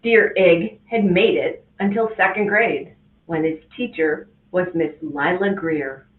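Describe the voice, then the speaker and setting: A woman reading a rhyming picture book aloud to children as a guest reader, with an expressive, sing-song delivery. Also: lowish voice, slow. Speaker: guest reader, school administrator. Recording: tinny, echoey, from a distance.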